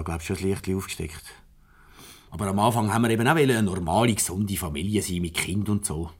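An actor playing a rueful, flustered husband in a Swiss German radio comedy from the 1970s, grumbling, defensive and hesitant. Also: male voice, low-pitched, darkish tone, breathy edge. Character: rueful, flustered husband Peter in marital crisis.

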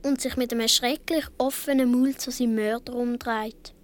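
A woman's voice: a little high, fairly even intonation, normal speed, lightly acted. A woman playing a seven or eight year old Swiss boy, telling his story earnestly with a Swiss German accent in a radio play. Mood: earnest, tense.

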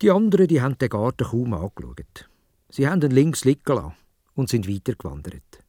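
A man with a Swiss German accent tells a children's story in a husky voice. His delivery is playful and very melodic, with soft music behind him. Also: medium pitch, fairly quick, dry recording, clean studio sound. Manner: expressive, playful telling.

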